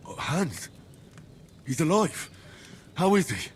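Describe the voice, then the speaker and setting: An actor playing an earnest, polite young commoner hero in a medieval game. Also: male voice, on the high side, very animated, slow pace, slight background noise. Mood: concerned.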